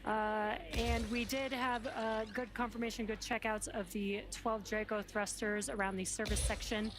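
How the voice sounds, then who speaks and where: A woman's voice: fairly high pitch, level tone, normal speed, over music. A woman giving live broadcast commentary as a launch presenter.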